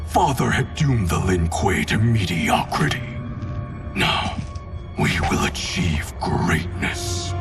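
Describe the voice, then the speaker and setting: Gravelly, domineering ninja grandmaster. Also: male voice, low voice, sing-song, takes it slowly, full character voice. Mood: contemptuous. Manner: commanding, scornful, terse. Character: cold, domineering male ninja clan grandmaster, ambitious, contemptuous. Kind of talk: video game cutscene acting. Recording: distant voice under loud music.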